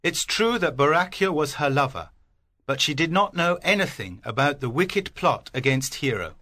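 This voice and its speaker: A woman voicing a commanding, jovial older gentleman, emphatic and authoritative, in storybook dialogue. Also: sits low, animated, normal speed, pitched below the performer's own voice. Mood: smiling, assured.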